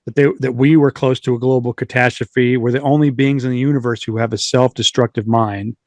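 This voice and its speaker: A man's voice: mid-range voice, fairly even intonation, brisk, no echo. Casual man in a dry, close recording. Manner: informal, digressive, lightly sweary. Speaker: podcast host. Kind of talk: casual chat, retelling and reading out accounts.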